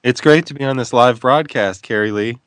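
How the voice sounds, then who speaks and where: A man's voice: mid-range voice, a little fast, fairly bright tone, dry recording. A man who is an author, talking as a guest in a casual radio interview, playful and wry, wandering off on tangents.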